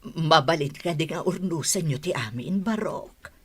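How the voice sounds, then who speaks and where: A woman with an Ilocano accent playing a worried, pleading mother in a radio drama, in a husky voice. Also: sits low, animated, unhurried, heavily acted. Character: pleading elderly mother, anxious to mend her son's marriage.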